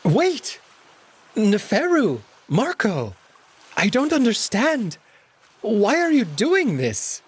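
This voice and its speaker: A man's voice: high voice, huge pitch swings, slow, slightly rough, pitched above the performer's own voice, exaggerated voice. A man voicing a small timid male cat in a visual novel, stammering guiltily and pleading. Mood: whining, pleading. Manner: stuttering, breathless pleading.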